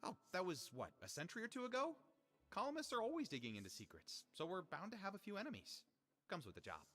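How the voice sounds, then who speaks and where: A man's voice: high voice, huge pitch swings, fast talker, fairly bright tone, big-room sound, soft background music, heavily acted. An actor playing a sheepish, eager young male columnist who stammers out excuses in a theatrical video game style. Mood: wistful, proud. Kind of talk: animated video game voice acting.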